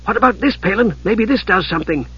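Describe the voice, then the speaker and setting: A man's voice: high voice, expressive, fast, fairly bright tone, breathy edge, muffled recording, voice pushed up, exaggerated voice. A man voicing a small, childlike kender in an audiobook, with a cheerful, bold and over-familiar manner. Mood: curious. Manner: eager, chirpy, familiar. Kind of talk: dramatised audiobook character.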